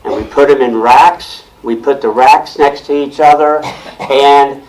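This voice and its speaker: Loud plain-spoken man lecturing a room. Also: medium pitch, steady tone, a little slow, rough recording. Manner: loud, plain-spoken, repeats and restarts words. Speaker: guest speaker on technology. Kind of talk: explaining to a club audience. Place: meeting room with audience. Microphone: handheld or stand mic with speaker system.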